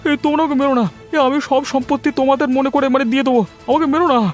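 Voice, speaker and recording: A man's voice: extremely high pitch, steady tone, very fast, faint music behind. A man playing a scheming, cowardly village brother-in-law in a cartoon moral story, whiny and agitated. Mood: panicked pleading.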